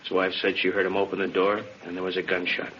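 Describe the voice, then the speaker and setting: A low-voiced actor as a male police captain in a vintage radio drama. He lays out facts in clipped, no-nonsense phrases. The recording is dull and faintly noisy. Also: monotone, fast talker, lightly acted. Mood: grim, factual. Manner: clipped, factual.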